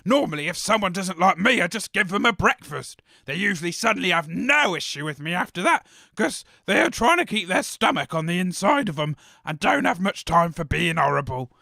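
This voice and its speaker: A dotty, soft-hearted cafe cook for an audio comedy. The voice is high and gravelly, swooping up and down. It rambles along naively. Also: male voice, sing-song, a little fast, hardly any pauses, no echo, clean studio sound, heavily acted. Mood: earnest, befuddled. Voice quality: raspy, creaky. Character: eccentric, scatterbrained, soft-hearted post-apocalyptic cafe chef. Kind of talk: comedy audio drama acting.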